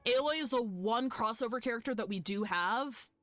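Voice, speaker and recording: A woman streaming a game live, chatting casually with viewers in an animated, sweary, fan-like way. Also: a little high, moderate pace, no echo. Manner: foul-mouthed. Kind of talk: casual chat with viewers while gaming.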